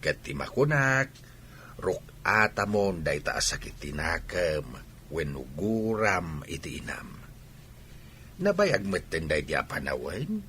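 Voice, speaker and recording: A man's voice: deep voice, big pitch swings, slow, sharp tone, slight background noise, exaggerated voice. A man playing a candid, caring elderly village father-in-law in a radio drama, with a Filipino accent. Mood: earnest, reproving. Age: older. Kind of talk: Ilocano radio drama acting.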